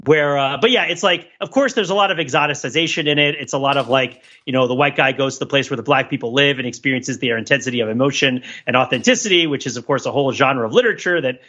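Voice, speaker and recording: A man in a casual panel discussion, rambling through wry, animated, digressive analysis of pop culture. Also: medium pitch, quick pace, hardly any pauses, highs cut off. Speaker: podcast panelist.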